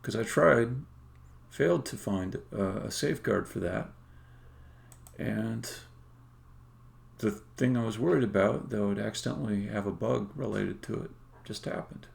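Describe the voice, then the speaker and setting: A man thinking aloud while live-coding as a game developer, halting and muttering to himself, with keyboard typing and clicking around him. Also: lowish voice, gravelly. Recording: faint background noise, keyboard typing. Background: computer keyboard typing and clicking.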